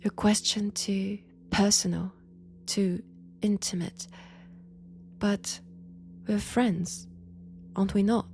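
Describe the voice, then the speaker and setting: A woman playing a mad, possessive young vampire in a roleplay audio, with a French accent and a slow, hushed, intimate delivery that often slips into whispers. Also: mid-range voice, steady tone, vocal fry. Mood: teasing, secretive.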